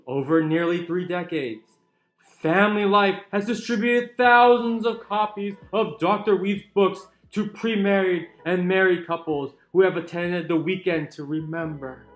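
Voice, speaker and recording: A man giving a dramatic, emphatic reading of a book, with deliberate pauses. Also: high-pitched. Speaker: channel narrator reading a book aloud. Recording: roomy echo with music underneath. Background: soft chill music.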